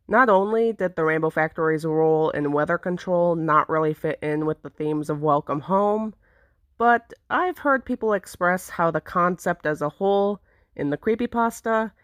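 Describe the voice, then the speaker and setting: Woman, low-pitched voice, dry close sound, explanatory video narration. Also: a bit bright, dry recording. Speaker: video creator. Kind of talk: semi-scripted explanatory vlog narration.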